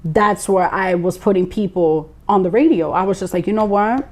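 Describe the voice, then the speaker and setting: Young female guest from Lawrence, Massachusetts; animated, blunt, slangy storyteller. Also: medium pitch, moderate pace. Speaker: guest, former radio co-host. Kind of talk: casual chat.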